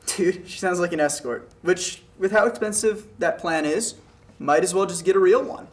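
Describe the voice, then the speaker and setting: High-pitched, sarcastic college buddy played by a man. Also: normal speed, natural voice. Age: young adult. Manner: joking, slangy. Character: blunt, sarcastic young male college buddy. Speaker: actor at a script table read. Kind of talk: casual dialogue read aloud from a script. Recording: noisy room recording.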